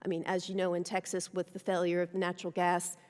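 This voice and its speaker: A woman's voice: lowish voice, completely flat delivery, fast, a little mellow. A woman testifying earnestly at a committee hearing. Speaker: hearing witness. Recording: hearing room with long reverb.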